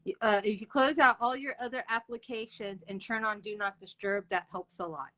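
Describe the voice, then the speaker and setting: A woman's voice: medium pitch, fairly even intonation, brisk, sharp tone. A woman hosting an author interview livestream, chatting casually and informally with viewers as she goes.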